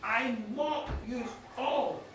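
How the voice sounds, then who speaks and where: A man's voice: very high voice, moderate pace. A man voicing a grieving, bitterly emotional widower in a told folk tale, declaiming emphatically with repeated phrases. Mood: angry, anguished. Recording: distant mic, very echoey space, faint noise.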